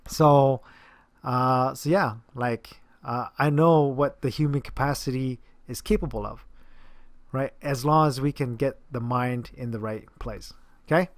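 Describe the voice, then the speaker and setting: A man's voice: medium pitch, steady tone, measured pace, no room sound. A man, a personal trainer, talking casually to camera about a client, rambling with many fillers and restarts. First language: English.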